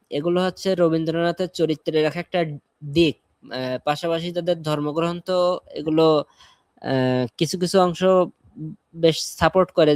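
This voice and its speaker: Higher-voiced man explaining in live discussion. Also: darkish tone, no echo. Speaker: discussion panelist.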